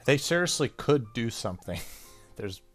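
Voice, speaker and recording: A man's voice: medium pitch, big pitch swings, unhurried. A man hosting a game playthrough, casually commenting and reading text aloud, sometimes tripping over words, with game music behind him. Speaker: let's play host.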